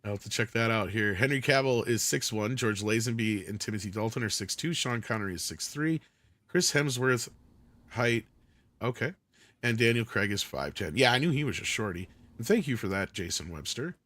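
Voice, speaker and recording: A man's voice: medium pitch, animated, fairly quick, a bit bright. A man hosting a talk show, chatting loosely and reading out viewer comments with the odd swear word. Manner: loose and conversational, swears casually. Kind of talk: casual chat.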